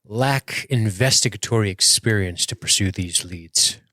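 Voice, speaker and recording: A man's voice: fairly low pitch, measured pace, pushed and bright, no echo, studio quality. A man playing a commanding military starship officer in a tabletop game, speaking formally and with authority. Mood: measured, decisive. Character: commanding male military starship senior officer, measured and decisive.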